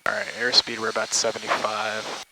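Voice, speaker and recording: Student pilot, man, very bright voice, unsure and self-checking, headset in a small cockpit. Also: on the low side, monotone, brisk, poor audio. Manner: tentative, checks himself often. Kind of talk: thinking aloud while flying. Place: small plane cockpit in flight. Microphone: aviation headset intercom.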